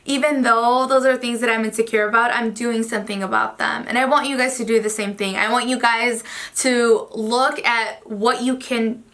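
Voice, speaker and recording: A woman's voice: fairly high pitch, fairly even intonation, normal speed, fairly bright tone. A young woman, Spanish as her first language, chatting earnestly to camera about herself in a casual vlog, with frequent "like" fillers. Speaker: beauty vlogger.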